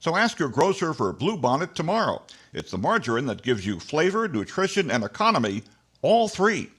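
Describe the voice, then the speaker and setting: A man's voice: a little high, sing-song, normal speed, a bit bright, husky, full character voice. An older man playing an emphatic 1940s radio announcer reading sponsor copy. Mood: selling, emphatic. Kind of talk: 1940s radio advertising read. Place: home room, video call.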